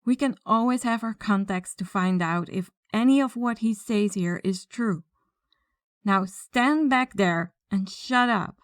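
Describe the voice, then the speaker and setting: A female mafia boss, played by a voice actress, speaks slowly and deliberately, close and dry. She is commanding and condescending, with a mocking, quiet menace suited to an ASMR crime roleplay. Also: medium pitch, no echo, natural voice. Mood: stern, businesslike. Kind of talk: ASMR roleplay audio drama.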